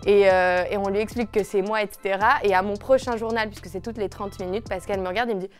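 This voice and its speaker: A young woman from the Paris region, a TV news journalist, telling lively anecdotes in a casual interview. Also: mid-range voice, quick pace. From Paris region, France. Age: young adult. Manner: lively storytelling with laughs. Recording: music playing underneath.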